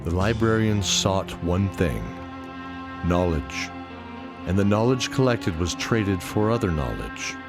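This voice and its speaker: A man reading scripted fantasy audio drama narration in a measured storytelling manner. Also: low voice, animated. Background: music.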